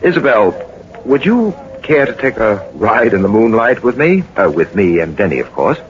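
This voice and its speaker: An actor playing a shrewd, commanding gentleman detective in a 1940s radio drama, speaking in a brisk, probing, authoritative way. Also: lowish voice, very animated. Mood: probing, composed. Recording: noisy, muffled 1940s radio recording.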